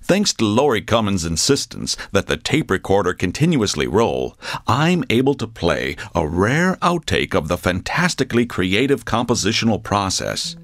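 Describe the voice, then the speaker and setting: An actor playing a pompous, earnest documentary narrator in scripted mock-documentary style, measured and grandiose. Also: male voice, fairly low pitch, very animated, fairly bright tone, no room sound, clean studio sound. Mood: knowing, measured.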